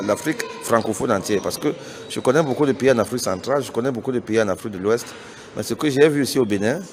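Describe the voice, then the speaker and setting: A middle-aged man from Cameroon whose first language is Bassa, giving an earnest, persuasive spoken statement to reporters, hesitating and restarting often. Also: darkish tone. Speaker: business founder giving a press statement.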